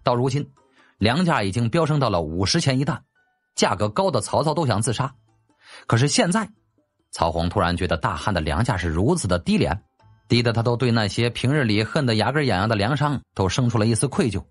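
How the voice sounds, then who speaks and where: A male narrator tells a historical tale in a dramatic, scripted style. His pitch moves animatedly, and there is soft music beneath the slightly less crisp voice. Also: very animated, brisk. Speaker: audiobook narrator.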